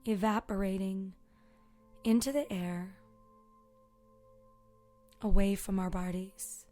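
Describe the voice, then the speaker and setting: A woman guides a meditation in a very slow, relaxed and mellow voice. She is soft and gentle, leaving long pauses and drifting toward a breathy near-whisper. Gentle music with singing bowl tones sits behind her. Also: mid-range voice. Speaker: yoga and meditation teacher.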